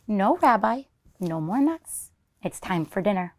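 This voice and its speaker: A kindly elderly grandmother from a children's storybook, played by a woman reader. She is chatty and fondly hospitable. She fusses over her company with exclamations, in a medium-pitched voice. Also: very animated, a little slow, darkish tone. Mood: lively, soothing. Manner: fussing, exclamatory.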